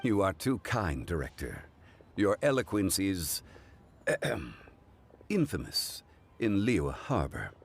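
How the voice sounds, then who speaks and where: A low voiced refined gentleman in a game, formal but expressive, music under it. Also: heavily acted. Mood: courteous, composed. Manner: formal, measured, eloquent. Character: Zhongli, composed, erudite gentleman consultant. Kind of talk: video game cutscene voice acting. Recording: music behind.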